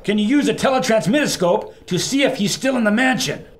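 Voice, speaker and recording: An actor playing a brash, plucky adventurer hero with folksy exclamations in an old-time pulp radio drama. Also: male voice, high-pitched, expressive, brisk, a little hiss or hum. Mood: urgent.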